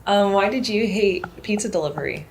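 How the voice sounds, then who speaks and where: A woman interviewing someone casually, asking curious questions with brief reactions and hesitant pauses. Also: mid-range voice, a little hiss or hum.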